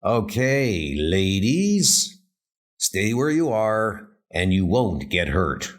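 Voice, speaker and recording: Man doing a bossy gangster convict, swinging pitch. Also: big pitch swings, very slow, heavily acted. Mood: threatening, bossy. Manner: bossy, clipped tough-guy talk. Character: hard-boiled gangster convict leader barking orders. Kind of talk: horror comic dub.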